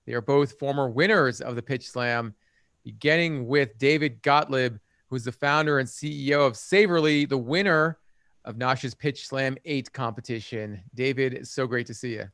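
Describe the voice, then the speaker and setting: A man hosts a live competition stream in a friendly, easygoing manner. His voice is smooth and resonant, at a middle pitch. It is recorded dry and close. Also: moderate pace, fairly bright tone, no echo. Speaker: host, podcast editor. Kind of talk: livestream interview hosting.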